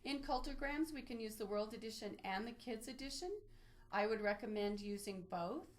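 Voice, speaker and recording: A woman's voice: on the high side, fairly quick. A woman presenting an instructional webinar, explaining step by step as she walks listeners through a screen demonstration. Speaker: webinar presenter, library trainer. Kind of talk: instructional screen-share walkthrough, semi-scripted.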